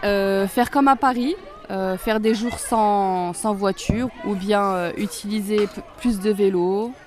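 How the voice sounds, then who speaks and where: A woman speaks off the cuff in a street interview. Her pitch is middling, and she pieces her answer together hesitantly. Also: slow, soft background music.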